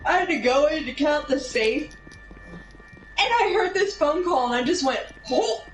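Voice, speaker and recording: A woman casually telling a rambling anecdote in an animated way, drifting into tangents and acting out what people said. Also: sits high, normal speed, faint music behind. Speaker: storyteller. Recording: roomy, distant mic, steady background noise.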